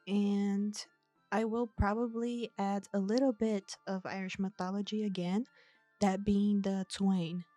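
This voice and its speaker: A young woman talks in a slow, unhurried way. Her voice is warm and mellow. She sounds measured and self-deprecating, with faint music underneath. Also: mid-range voice, flat delivery, dry recording. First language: Portuguese. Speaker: video essayist. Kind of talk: reading a scripted analysis, then chatting.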